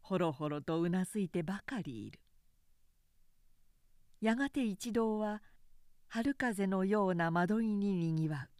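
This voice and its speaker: A woman reads scripted literary prose as a narrator. Her pace is very unhurried and her phrasing precise, like a trained announcer. The sound is very dry, with no audible room. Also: medium pitch, a little mellow, no echo. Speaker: narrator reading aloud.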